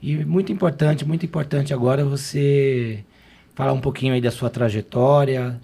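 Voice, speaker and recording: A man hosting a podcast, his voice sitting at a mid pitch. He talks in a chatty, easygoing way, looping back with fillers and restarts. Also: fairly even intonation, moderate pace, creaky, mellow, slightly rough. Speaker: podcast host.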